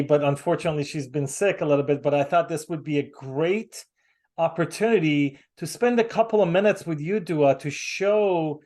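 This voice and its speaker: A man from the United States hosting a casual video call, talking haltingly with many fillers and restarts. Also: a little high, darkish tone. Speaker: host.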